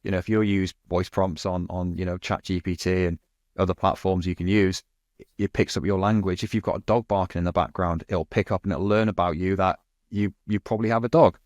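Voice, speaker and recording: A man's voice: low voice, fairly even intonation, a little fast, darkish tone, no echo, studio quality. A man in his thirties, a podcast guest, talking fluently and casually with lots of "you know" and "kind of". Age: 30s. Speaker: agency guest.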